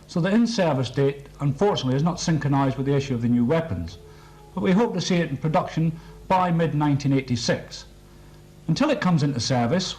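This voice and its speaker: A British man with a somewhat high-set voice presents a military training film. His delivery is a formal scripted briefing, precise and matter-of-fact throughout. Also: darkish tone, low-quality recording.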